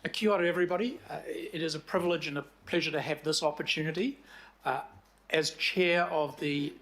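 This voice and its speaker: Older kiwi guy, commission chair briefing a council meeting, slightly high voice, measured, lots of uhs. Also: fairly even intonation, a bit bright. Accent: New Zealand. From New Zealand. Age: 60s. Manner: measured, with frequent fillers. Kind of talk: formal committee presentation.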